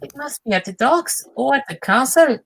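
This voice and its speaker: A man's voice: extremely high pitch, animated, moderate pace, creaky, pitched above the performer's own voice, heavily acted. A man voicing an eager male steam engine character in a children's storybook. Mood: eager, earnest.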